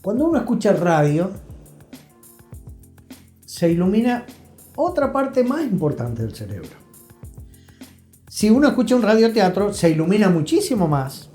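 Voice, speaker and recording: Mellow-voiced Argentine man, reflective storyteller. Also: sits high, sing-song, normal speed, long pauses. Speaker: interview guest. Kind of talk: reflective conversational storytelling and explanation. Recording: roomy echo, faint music.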